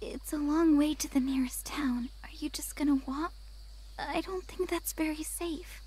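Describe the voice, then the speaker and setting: A woman playing a troubled, apologetic, grieving teenage girl in a visual novel, speaking softly and hesitantly. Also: high-pitched, normal speed, close to an everyday voice. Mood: anxious, apologetic. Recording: music behind the voice.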